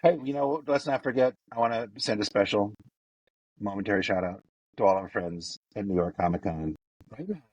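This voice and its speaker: A man co-hosting a podcast, joking in a deadpan, mock-serious way. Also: on the low side, moderate pace, slightly rough, no room sound, low-quality recording. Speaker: podcast co-host. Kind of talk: casual podcast chat.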